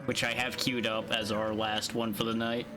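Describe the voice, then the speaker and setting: A man with a calm, soothing voice, chatting and teasing playfully while streaming a video game. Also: lowish voice, normal speed, over music. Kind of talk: casual gaming chat.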